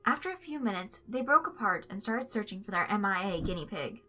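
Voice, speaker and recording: A woman reading a story aloud as an expressive narrator, following a script. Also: medium pitch, a little fast, faint music behind. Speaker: narrator reading fan fiction.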